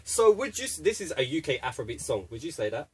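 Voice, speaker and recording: A man's voice: mid-range voice, sing-song, quick pace, background noise. A man hosting a casual radio interview in a studio, playful and teasing. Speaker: radio DJ host.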